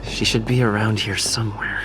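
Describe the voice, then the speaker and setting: A man's voice: on the low side, flat delivery, a little fast, music in the background, natural voice, rough recording. An actor playing a man on the hunt in a sci-fi fantasy video game cutscene. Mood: cool, searching.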